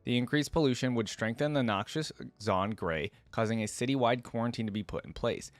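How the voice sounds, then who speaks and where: A man's voice: mid-range voice, brisk, dry recording, soft background music. A man hosting a casual reaction video, chatting informally to viewers and reading aloud as he goes. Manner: informal, addresses viewers directly as "guys". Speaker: video host, gaming fan reactor.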